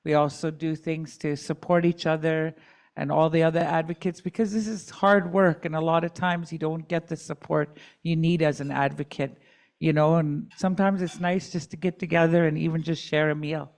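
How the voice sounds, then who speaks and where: A woman's voice: low-pitched, level tone, moderate pace, a little mellow. A middle-aged woman advocate giving candid personal testimony at a community dialogue, with joking asides.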